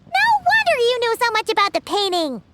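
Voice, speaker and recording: A woman voicing a tiny, excitable childlike fairy companion in a video game, blunt and squeaky. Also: brisk, very noisy, heavily acted. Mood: surprised, teasing. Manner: exclamatory, speaks of self in third person. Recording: prominent game music behind.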